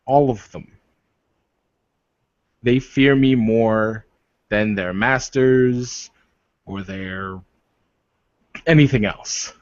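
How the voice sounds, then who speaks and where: Werewolf warrior leader, played by a male gamer: protective, proud, unhurried, lowish voice, dull lo-fi sound. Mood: grave, forceful. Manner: slow, emphatic, declarative speech. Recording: roomy, dull lo-fi microphone.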